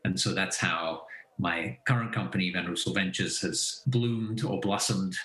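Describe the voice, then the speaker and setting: Low-voiced man in a roomy space. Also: measured pace, fairly bright tone, faint music behind. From Belgium. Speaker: interview guest, finance advisor and company founder. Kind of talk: conversational interview answers. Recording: roomy echo.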